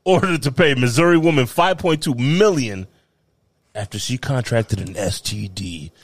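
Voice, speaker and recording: A man's voice: mid-range voice, animated, moderate pace, dry recording. A man co-hosting a podcast, tossing off wry, profane quips in casual banter. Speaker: podcast co-host.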